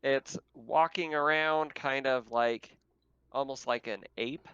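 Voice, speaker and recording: A man's voice: mid-range voice, fairly even intonation, slow pace, fairly bright tone, smooth, dry recording. A man speaking casually as game master, narrating and refereeing a tabletop roleplaying game, swearing freely, on a slightly muffled microphone.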